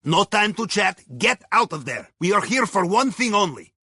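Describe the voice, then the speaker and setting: High, raspy Russian heist planner. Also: male voice, sing-song, moderate pace, bright, forceful tone, dry recording, studio quality, full character voice. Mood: businesslike, urgent. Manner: conspiratorial, wry, clipped non-native phrasing. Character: jovial, crafty Russian submarine captain and heist planner. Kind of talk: video game mission dialogue.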